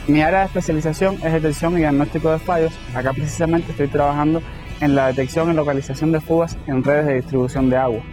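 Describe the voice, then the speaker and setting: Higher-voiced Cuban man, faint music. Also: steady tone, fast talker, a little mellow, low-quality recording. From Cuba. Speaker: graduate student. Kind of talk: interview testimonial.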